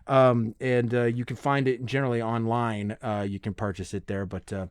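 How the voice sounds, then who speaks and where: A man with a medium-pitched voice hosts a solo podcast. He rambles along conversationally with fan-like enthusiasm and casual profanity. The sound is dry, with little room around the voice. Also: level tone, normal speed, smooth, no echo. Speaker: podcast host.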